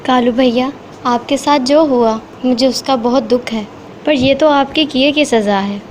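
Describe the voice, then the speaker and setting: Woman as a high-voiced earnest little bird. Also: unhurried, a little hiss or hum. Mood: sorrowful, moralizing. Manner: earnest, polite. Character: sweet, earnest, kind-hearted little female bird. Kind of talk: Hindi children's cartoon dubbing.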